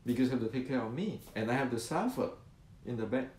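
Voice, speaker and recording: A man's voice: medium pitch, expressive, fast talker, a little mellow. A man in his sixties explaining martial arts to viewers, often repeating words and answering his own questions. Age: 60s. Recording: roomy echo.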